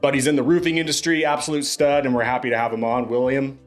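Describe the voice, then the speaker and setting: A man's voice: mid-range voice, little pitch movement, quick pace, soft background music. A young man hosting a podcast in an upbeat, laid-back, chatty way. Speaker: podcast host.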